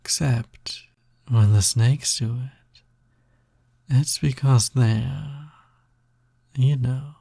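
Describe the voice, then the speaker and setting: Husky, unhurried man as a teasing snake demon. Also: medium pitch, steady tone, mellow, dry recording, clean studio sound, full character voice. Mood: coaxing, teasing. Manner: teasing, coaxing, casual. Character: flirty, pushy male naga snake demon roommate. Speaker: audio roleplay voice actor. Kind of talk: intimate ASMR-style audio roleplay.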